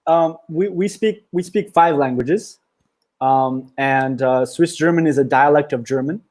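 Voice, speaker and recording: A man who is a guest in a casual interview, speaking calmly and agreeably, with lots of short "yeah"s. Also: a little high, measured pace.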